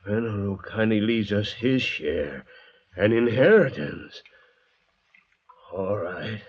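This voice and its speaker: A menacing escaped convict in an old radio play, low and very slow. He is crazed by thirst and sneers with mock politeness, his voice swinging widely on a muffled vintage recording. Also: expressive, husky, heavily acted. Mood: mock-polite menace. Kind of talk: old-time radio drama acting.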